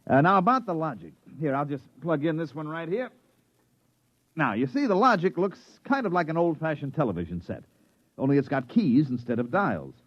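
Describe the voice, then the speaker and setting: Wry, put-upon repairman, lively pitch swings, colloquial vintage radio acting, dull close recording. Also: moderate pace, no room sound. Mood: salesmanlike. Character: wisecracking, put-upon repairman of a futuristic gadget firm. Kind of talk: 1950s radio drama acting, first-person narration and dialogue.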